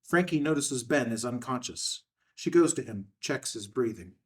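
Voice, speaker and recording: A man with a medium-pitched voice reads out stage directions as a narrator. It is scripted screenplay narration, picked up from some way off the microphone. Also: lively intonation, normal speed. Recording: distant microphone.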